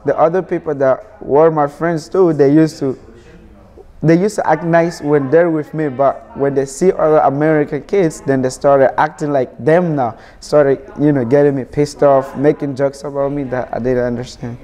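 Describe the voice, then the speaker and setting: A man's voice: a little high, fairly even intonation, moderate pace, mellow. A teenage man from Burkina Faso, an immigrant student, answering interview questions earnestly, often restarting his sentences.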